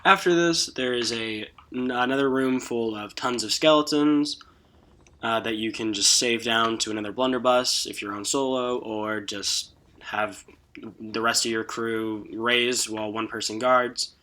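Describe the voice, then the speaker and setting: A man hosts a video game walkthrough in a bright, forward voice. His delivery is informal and a little halting, explaining things step by step in casual commentary. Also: medium pitch, steady tone. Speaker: game guide video host.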